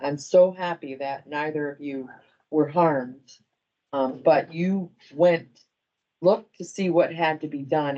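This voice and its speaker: Low-voiced woman presenting staff awards. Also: dark tone, highs cut off. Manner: halting, appreciative, addressing a group. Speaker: medical center director presenting awards. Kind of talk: informal award presentation speech.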